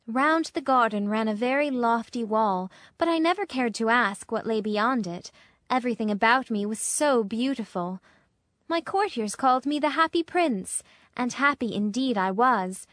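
A gentle statue of a dead young prince from a storybook, played by a woman. The voice is a little high and musical, with measured, wistful sorrow. It is recorded dry and close. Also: no room sound, natural voice. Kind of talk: storybook character reading.

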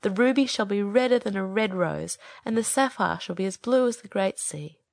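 A woman's voice: medium pitch, moderate pace, gravelly, no room sound, studio quality, natural voice. A woman playing a small, good-hearted male swallow in a storybook, speaking earnestly and expressively. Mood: devoted, tender. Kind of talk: acted storybook dialogue.

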